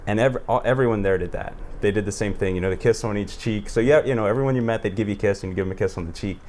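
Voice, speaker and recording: Male American podcast host, fairly low voice, informal conversational delivery, a little noise in the recording. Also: very fast, darkish tone. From United States. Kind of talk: casual chat.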